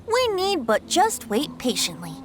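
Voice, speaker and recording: A woman's voice: high voice, huge pitch swings, measured pace, music in the background, heavily acted. A woman voicing a playful, vain young male bard in a video game, airy and theatrical in manner. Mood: musing, playful. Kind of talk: video game character acting.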